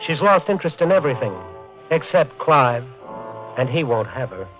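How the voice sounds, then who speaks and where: An intense, brooding man played by an actor, obsessed with buried treasure and close to madness, tells his story in an old radio drama. The sound is thin and tinny like a vintage broadcast, with clear music underneath. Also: medium pitch, close to an everyday voice. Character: obsessed treasure hunter slipping into murderous madness. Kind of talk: 1950 radio drama first-person narration.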